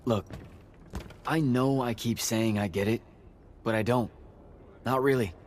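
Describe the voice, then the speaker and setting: An actor playing an irreverent young street thief in a video game, bantering casually and sardonically. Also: male voice, medium pitch, measured pace, slight background noise, natural voice. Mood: wry, questioning.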